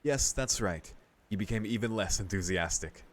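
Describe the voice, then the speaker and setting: Guy w/ a lowish voice reading a visual novel out loud, casual lets play chat. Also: moderate pace. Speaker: streamer playing and reading a visual novel aloud. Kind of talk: casual let's-play commentary and narration.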